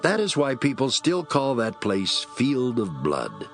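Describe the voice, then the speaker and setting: A man's voice: mid-range voice, huge pitch swings, unhurried, soft background music. A man narrating scripture as a scripted dramatic reading.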